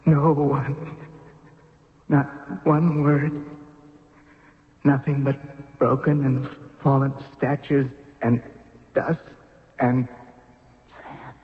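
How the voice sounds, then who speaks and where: A man's voice: medium pitch, little pitch movement, extremely slow, long pauses. An actor playing an anguished, proud young pharaoh losing his faith, declaiming in 1970s radio drama style. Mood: despairing. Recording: cavernous reverb, dull noisy vintage radio recording.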